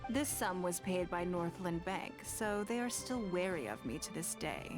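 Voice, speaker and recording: A poised, commanding noblewoman played by a woman with a lowish voice. She speaks formally and deliberately, calm and shrewd, in a video game scene with music softly behind her. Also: natural voice. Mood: measured, confiding. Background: background music.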